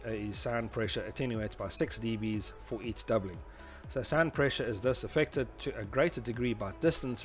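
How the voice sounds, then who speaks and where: A man with a fairly deep voice talks through an instructional presentation. He explains in an informal, slightly halting lecture style. Faint music sits behind his voice. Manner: hesitant, explanatory, often saying "you know". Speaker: instructor presenting a tutorial series.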